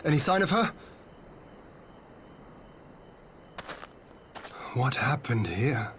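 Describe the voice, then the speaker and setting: A man acting a grave, remorseful leader in a fantasy video game cutscene, sombre and measured. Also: medium pitch, sing-song, a bit bright, slight background noise. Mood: concerned, urgent. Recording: faint background ambience.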